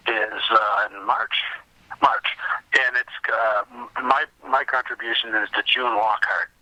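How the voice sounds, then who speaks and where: An American man talking casually as an interview guest, halting and rambling as he repeats words, over what sounds like a call. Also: fairly low pitch, steady tone, sharp tone, no room sound, low-quality recording. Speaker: podcast guest, writer and interviewer. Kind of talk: casual conversational interview talk.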